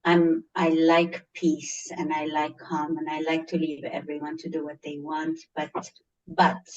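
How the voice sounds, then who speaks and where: A woman's voice: sits low, completely flat delivery, a little slow, distant mic, highs cut off, slight background noise. A woman from Egypt with an Egyptian accent, a teacher answering interview questions earnestly and repeating phrases for emphasis. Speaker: teacher, guest.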